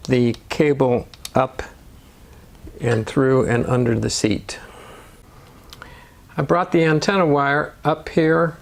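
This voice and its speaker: A man narrating step-by-step DIY instructions in a measured, matter-of-fact way. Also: medium pitch, creaky. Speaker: motorcycle DIY video host.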